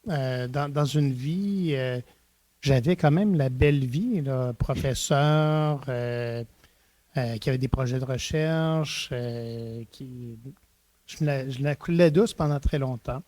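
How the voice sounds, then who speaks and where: Man, mellow, dry sound. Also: mid-range voice, slow pace, no room sound. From Eastern Ontario, Canada. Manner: frequent restarts and hesitations, animated storytelling. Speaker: university rector, guest. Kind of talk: reflective conversational interview.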